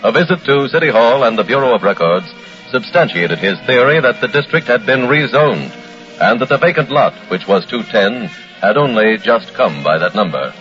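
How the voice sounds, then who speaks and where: A man narrating a vintage radio crime show in a formal, measured, dramatic scripted style. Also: medium pitch, expressive, a bit bright. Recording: muffled old radio broadcast with music.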